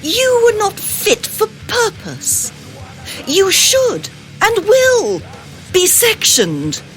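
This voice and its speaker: Scornful radio play villainess, slow drawn-out words, high voice swooping all over. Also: female voice, wildly swooping pitch, fairly bright tone, faint music behind, full character voice. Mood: venomous scorn. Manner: contemptuous, drawn-out, theatrical. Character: venomous, scornful female psychopath tormentor. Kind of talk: radio drama acting.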